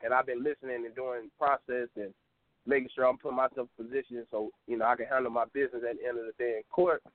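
A man's voice: mid-range voice, little pitch movement, fast talker. A man in his twenties calling in to a talk show, telling loose, rambling stories and laughing often. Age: 20s. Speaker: caller. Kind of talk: casual call-in chat. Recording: phone-line audio.

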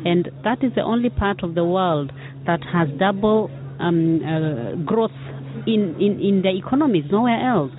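A woman from Kenya answering interview questions for a tourism board, earnest and halting, with many fillers and repeated words. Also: mid-range voice, lively intonation. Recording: phone-like narrow band.

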